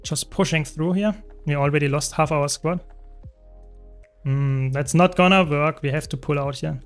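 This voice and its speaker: A man's voice: fairly high pitch, normal speed, soft background music. A young man from Germany with a German accent, chatting casually with viewers while playing a game on a live stream. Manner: answers chat questions while playing, relaxed and talkative.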